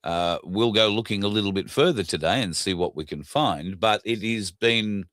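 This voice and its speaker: Hoarse Australian male host, lowish pitch, dry close sound, blunt and indignant with lots of fillers. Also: moderate pace, a bit bright, no echo. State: hoarse, scratchy throat. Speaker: podcast host. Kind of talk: casual solo commentary.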